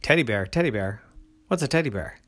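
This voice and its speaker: A man's voice: medium pitch, lively intonation, a little fast, a bit bright. A man voicing an aloof, slightly snooty female deer in a bedtime story, speaking dismissively.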